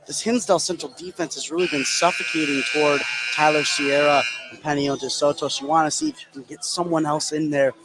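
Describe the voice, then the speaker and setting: A man's voice: fairly high pitch, fairly even intonation, a little fast, hardly any pauses. A man working as a color commentator, giving conversational, analytical commentary on a live basketball game. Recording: faint gym background noise. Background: basketball game in a gym.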